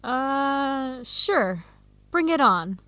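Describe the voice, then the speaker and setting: Casting: eager young woman student, higher voice, clear simple delivery for learners, close dry radio-drama sound. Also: expressive, extremely slow, pushed and bright, no echo, exaggerated voice. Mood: upbeat, friendly. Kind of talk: scripted educational radio drama dialogue.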